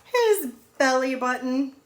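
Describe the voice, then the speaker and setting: Slow-paced woman reading to children, echoey room. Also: sits high, expressive. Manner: sing-song, pausing to ask children questions. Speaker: teacher reading aloud to young pupils. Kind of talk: animated children's storybook read-aloud. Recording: echoey room with faint noise.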